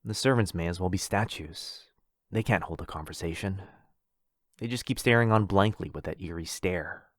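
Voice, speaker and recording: A man's voice: sits low, no echo, clean studio sound. A man playing a lonely, possessive, rich vampire brother in a boyfriend ASMR roleplay, insistent and coaxing.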